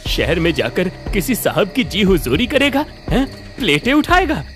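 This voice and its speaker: Man acting a gruff, proud village father, animated pitch. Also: moderate pace, full character voice. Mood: angry. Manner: gruff, scolding, sarcastic. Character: proud, stern middle-aged father running a village roadside eatery. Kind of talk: cartoon story acting. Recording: music under the voice.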